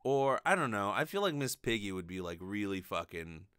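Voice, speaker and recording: A lively man in his twenties with a bright, higher-set voice rambles through a comedic monologue. He swears freely and keeps it casual, with his pitch swinging around as he talks into a close, dry mic. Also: normal speed, clear, resonant tone, no echo. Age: late 20s. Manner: profane, rambling, animated. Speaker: podcast host. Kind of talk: casual comedic monologue, answering listener mail. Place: small bedroom, indoors. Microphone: close condenser microphone on desk with pop filter.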